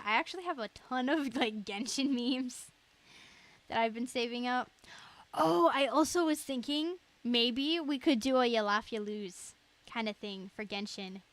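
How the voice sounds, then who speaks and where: High-voiced young woman streamer, chatting casually. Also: fairly bright tone. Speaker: live streamer.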